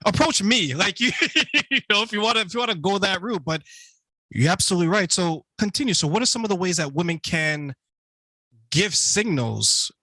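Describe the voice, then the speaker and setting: A man hosting a live stream interview, relaxed, laughing easily and asking long questions. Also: high-pitched, fairly quick, dry recording. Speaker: show host. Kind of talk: conversational interview.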